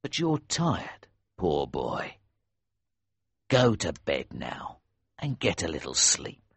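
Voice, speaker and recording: A man voicing a gruff, fatherly older smuggler innkeeper in an audiobook, speaking in a husky, deliberate, hushed way. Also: deep voice, wildly swooping pitch, dry recording, pitched below the performer's own voice, exaggerated voice. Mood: gentle, fatherly. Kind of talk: audiobook character reading.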